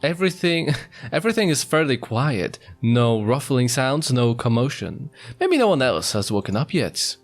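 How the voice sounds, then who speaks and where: A man reading visual novel text aloud in a casual let's-play style, breaking off for jokey, mock-apologetic asides. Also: mid-range voice. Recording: music behind the voice. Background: music.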